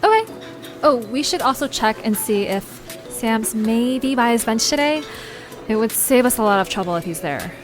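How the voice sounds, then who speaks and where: A woman playing an eager, bold and impulsive young woman in an audio drama, talking plainly and excitably. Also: fairly high pitch, normal speed, music in the background, lightly acted.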